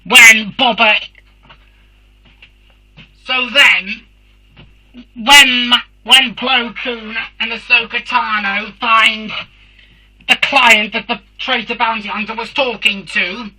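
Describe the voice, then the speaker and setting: Dalek robot alien, super sharp raspy buzzy voice, halting and emphatic, reviewing stuff for a fan show. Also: mid-range voice, fairly even intonation, full character voice, low-quality recording. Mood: explaining.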